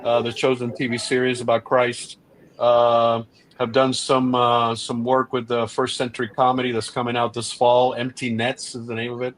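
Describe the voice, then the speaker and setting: A middle-aged man speaks as a podcast guest in an earnest, animated way. He restarts often and leans on fillers as he explains his views. His voice sits at an ordinary middle pitch. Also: normal speed, a little hiss or hum, low-quality recording. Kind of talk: casual interview chat.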